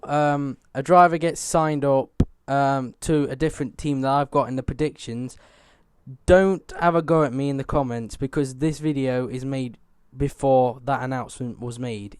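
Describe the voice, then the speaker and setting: A young man hosts his channel, chatting on despite a sore throat and a croaky voice. His voice sits fairly high and still has a smooth core under the rasp. He clears his throat often, and the sound is close and dry with no room echo. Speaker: YouTube racing channel host. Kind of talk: casual commentary to viewers.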